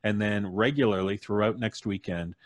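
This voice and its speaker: A man's voice: lowish voice, moderate pace, no room sound, studio quality. A man casually hosting a podcast livestream, speaking as the host on a video call. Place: indoor room, remote video call.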